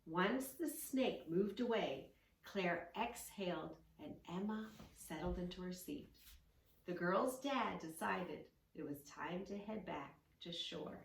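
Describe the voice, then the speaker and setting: A woman reading a story aloud to children and teaching yoga poses with gentle, encouraging praise. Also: fairly low pitch, expressive, slow pace, gravelly, a little hiss or hum. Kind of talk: read-along story with yoga instructions for children. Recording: roomy, echoing, distant microphone.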